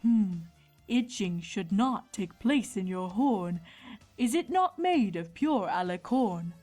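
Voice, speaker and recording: Woman doing a wise zebra herbalist lady, talks slow and in rhyme, calm, bit of music under it. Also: medium pitch. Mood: puzzled. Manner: measured, speaks in rhyming couplets. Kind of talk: dramatized fan fiction reading. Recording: music behind the voice.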